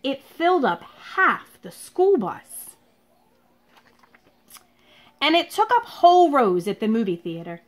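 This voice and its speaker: A woman teacher speaking to young children in an expressive, deliberate read-aloud style, recorded at home in a living room. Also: sits high. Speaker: elementary school teacher reading a picture book aloud.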